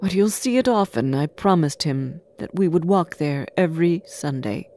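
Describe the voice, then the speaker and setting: A woman voicing a gentle, emotional, grieving father in a storybook reading. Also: low-pitched, animated, normal speed. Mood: wistful. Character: tender, sorrowful grieving father.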